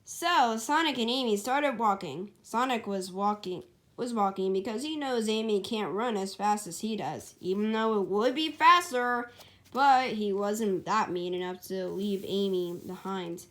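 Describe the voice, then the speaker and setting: A woman's voice: mid-range voice, measured pace. A woman narrating her amateur story as writer and storyteller, informal and self-correcting, with casual asides.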